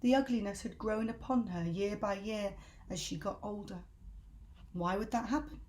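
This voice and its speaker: A woman's voice: medium pitch, moderate pace, a little mellow. A woman reading a children's story aloud as a storyteller, with dramatic, emphatic delivery.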